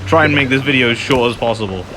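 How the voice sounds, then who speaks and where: A man's voice: medium pitch, quick pace, noisy background. A man giving casual, self-deprecating and apologetic commentary while playing a video game. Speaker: gamer narrating a playthrough. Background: loud video game music.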